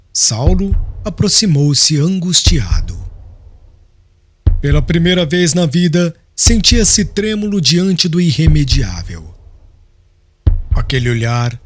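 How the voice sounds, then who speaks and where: A man narrating an audiobook in a solemn, literary and dramatic style. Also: on the high side.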